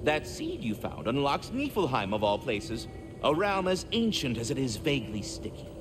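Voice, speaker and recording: Swooping, florid talking squirrel. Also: male voice, mid-range voice, wildly swooping pitch, normal speed, background noise. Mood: affable, explanatory. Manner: florid, pompous, theatrical enunciation. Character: chatty, courtly talking squirrel, fussy and affable. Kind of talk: video game character acting.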